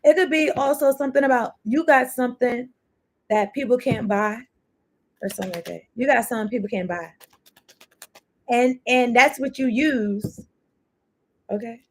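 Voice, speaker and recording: A woman's voice: fairly high pitch, normal speed, pauses often. A woman doing a casual tarot reading, chatty and rambling as she free-associates between cards and thoughts, swearing now and then. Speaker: tarot card reader.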